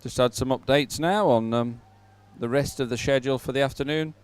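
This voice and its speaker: A man giving live sports commentary at a regatta in an informal, halting way with hesitant pauses. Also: medium pitch, steady tone, smooth.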